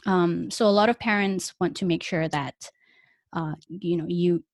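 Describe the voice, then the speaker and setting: A woman's voice: lowish voice, steady tone, a little slow, dry recording. Young malaysian-raised woman, malay first language, chatty w lots of ums and little laughs, dry close sound. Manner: chatty, many fillers and small laughs. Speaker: interviewee. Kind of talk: casual conversational interview.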